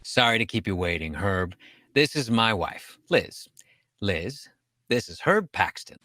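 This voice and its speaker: A man's voice: on the low side, very animated, a little slow, bright, forceful tone, exaggerated voice. A man playing an exasperated, wisecracking banker husband in an old-time radio sitcom, fussy, emphatic and dryly sarcastic. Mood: businesslike.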